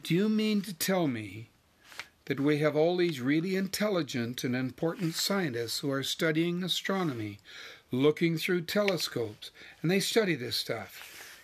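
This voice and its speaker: A man's voice: fairly high pitch, normal speed, a bit bright, a little hiss or hum, close to an everyday voice. A man voicing a blunt, easily frustrated wife in a story, emphatic and insistent. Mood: incredulous.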